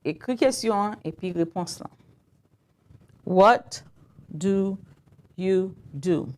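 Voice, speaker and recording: A woman with a Haitian Creole accent teaching English in a live online lesson, speaking slowly and repeating phrases as she writes them out. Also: mid-range voice, expressive, darkish tone. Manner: slow, repeats phrases while writing them out.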